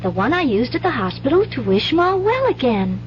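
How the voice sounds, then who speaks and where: A woman's voice: sits high, big pitch swings, moderate pace. A woman playing an earnest, trusting little girl in a 1970s radio drama, with an innocent manner. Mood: relieved. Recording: dull, noisy vintage radio broadcast.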